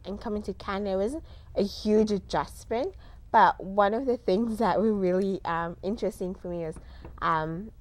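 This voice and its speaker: A young woman who grew up in South Africa and speaks Somali natively. Her voice sits at an average pitch. She answers interview questions in a casual, slightly hesitant and conversational way. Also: normal speed, darkish tone. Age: young adult. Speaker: interview guest.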